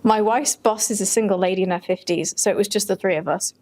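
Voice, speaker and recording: A woman's voice: mid-range voice, quick pace, a little mellow. A woman co-hosting a podcast in a casual, conversational style, chatting and reading stories aloud. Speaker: podcast co-host.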